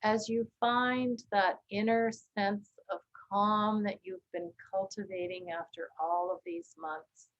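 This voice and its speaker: A woman leading a guided body scan meditation, speaking gently and calmly with long, unhurried pauses. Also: medium pitch, muffled recording. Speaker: meditation teacher.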